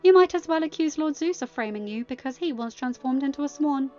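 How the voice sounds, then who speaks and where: A woman acting sarcastic teenage villain's daughter, high-pitched, dry delivery, story reading, slightly dull recording. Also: animated, fairly quick, darkish tone. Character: sardonic teenage villain's daughter who shifts into dragon. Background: music.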